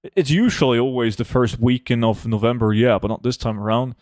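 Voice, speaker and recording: A young man streaming a game, chatting casually and answering viewer questions in a relaxed, offhand way. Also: mid-range voice, a little fast, no room sound, clean studio sound. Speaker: gaming streamer reading chat. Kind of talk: casual live gameplay chat.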